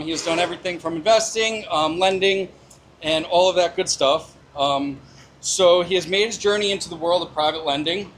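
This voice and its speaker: High-voiced man introducing the speaker. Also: fairly bright tone, slight background noise, poor audio. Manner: halting, many "um" fillers. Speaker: event host introducing the speaker. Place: restaurant meetup.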